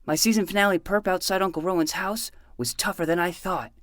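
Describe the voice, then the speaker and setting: A woman's voice: lowish voice, a little fast, no echo, studio quality, close to an everyday voice. A woman voicing an earnest, melodramatic twelve-year-old boy who plays detective in a scripted fiction podcast. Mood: dramatic, grave.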